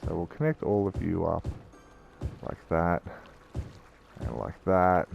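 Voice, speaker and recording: A young man, croaky from a cold, giving casual rambling commentary as he plays a city-building game. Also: low-pitched, animated, takes it slowly, a little mellow, faint music behind. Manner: chatty, rambling, self-correcting. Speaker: gaming YouTuber.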